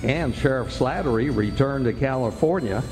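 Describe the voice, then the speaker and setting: A man's voice: medium pitch, lively intonation, normal speed, slight background noise, natural voice. A man narrating a Western radio play as a genial, folksy storyteller. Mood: genial, wry. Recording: echoey hall, loud music behind. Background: music.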